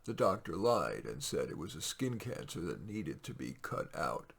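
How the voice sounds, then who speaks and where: A man with a raspy, gravelly voice reads his own short stories aloud in a measured, expressive way, with casual asides. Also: low-pitched.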